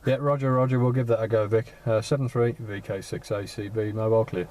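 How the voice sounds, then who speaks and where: A man's voice: lowish voice, steady tone, brisk. A man making casual, polite, slightly hesitant conversation as a radio operator in a vehicle. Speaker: mobile amateur radio operator. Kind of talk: casual two-way radio chat. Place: inside a parked four-wheel drive. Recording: faint radio static in a car.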